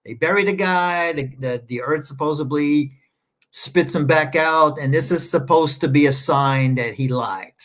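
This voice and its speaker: A man's voice: on the high side, measured pace, a bit bright. A man rambling through casual livestream commentary, sarcastic and scornful, stopping often to search his screen. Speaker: online commentator.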